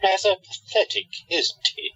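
A man's voice: sits high, flat delivery, unhurried, vocal fry, fairly bright tone, breathy edge, muffled recording, exaggerated voice. A man playing a protective, reasonable otherworldly husband in an audio drama, earnest and cautioning. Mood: disdainful.

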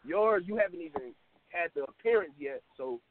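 A man's voice: a little high, lively intonation, moderate pace, background noise. Man, twenties, caller, rambling casual storyteller, tinny phone line. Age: 20s. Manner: loose, rambling storytelling, laughs often. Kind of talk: casual call-in chat.

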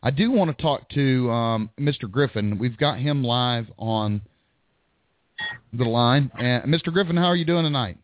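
A man's voice: mid-range voice, animated, moderate pace. A man hosting a call-in radio show, chatting casually with frequent ums and laughs. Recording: dry, tinny phone-like line.

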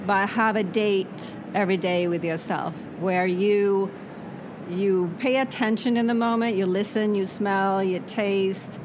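A woman whose first language is Swedish, an author answering interview questions in a reflective way that often trails off softly. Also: medium pitch. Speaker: author guest. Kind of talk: interview conversation. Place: noisy spot near traffic. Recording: background traffic noise.

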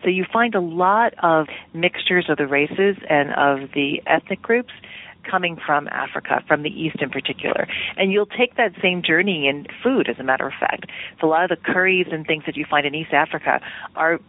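A woman's voice: low-pitched, moderate pace, no echo. A woman television producer talking casually as a radio interview guest, chatty and hedging as she thinks aloud. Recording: tinny phone line.

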